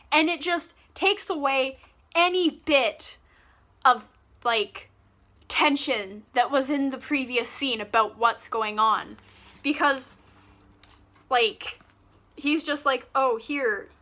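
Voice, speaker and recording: A woman's voice: sits high, bright, forceful tone. A young woman ranting to camera about a book in an exasperated, sarcastic way, swearing freely. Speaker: book reviewer. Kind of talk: casual rant to camera.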